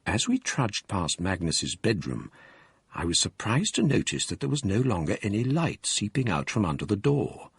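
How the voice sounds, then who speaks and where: A man giving grave, measured narration of a period ghost story for radio drama. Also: fairly low pitch, wildly swooping pitch, gravelly, slightly rough, no echo, heavily acted.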